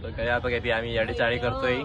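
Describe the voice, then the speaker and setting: A man's voice: completely flat delivery, fast talker, crowd noise. A young man chatting casually with his friends on a vlog. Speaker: vlogging friend. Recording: noisy outdoor vlog with engine rumble.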